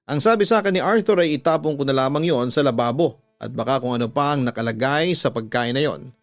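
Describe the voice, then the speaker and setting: A man with a Filipino accent narrating a scripted story as a radio host, measured and deliberate, drawing out the ends of phrases. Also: mid-range voice, no room sound. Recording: background music under a dry studio voice. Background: music.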